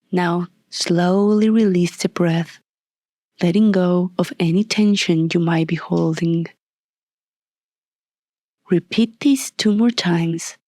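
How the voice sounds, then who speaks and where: A woman leading a guided meditation as a scripted narration, soft and breathy, calm and soothing, with deliberate long pauses. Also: on the low side, no echo, clean studio sound.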